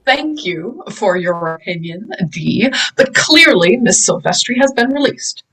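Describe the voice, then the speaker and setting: A pompous, authoritative professor in a sci-fi drama read, acted by a woman. The delivery is slow and lively in pitch, with a lecturing air. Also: exaggerated voice, poor audio. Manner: pompous, hesitant when reasoning. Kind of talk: amateur table-read drama.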